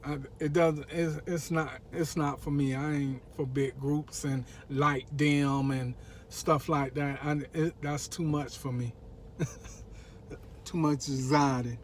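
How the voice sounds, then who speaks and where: A man's voice: on the high side, fairly even intonation, slow pace, slight background noise. An American man co-hosting a relationship vlog, chatting casually in a colloquial, joking way. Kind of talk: casual chat.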